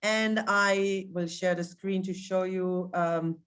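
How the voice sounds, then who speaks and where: A woman's voice: on the low side, steady tone, slow, fairly bright tone. A woman with an Israeli accent leading a virtual tour over a video call, speaking with many ums and restarts. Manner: hesitant, with frequent ums and restarts. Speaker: tour guide and educator. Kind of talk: live online virtual tour talk.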